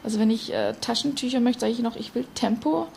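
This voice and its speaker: Gravelly, mellow woman, halting interviewee. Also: fairly high pitch, slight background noise. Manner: uncertain, halting. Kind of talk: documentary interview.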